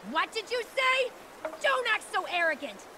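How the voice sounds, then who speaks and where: An extremely high female voice plays a combative, hot-headed young worker in a game. Loud noise surrounds the dialogue. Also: big pitch swings, moderate pace. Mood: furious. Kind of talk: video game cutscene acting.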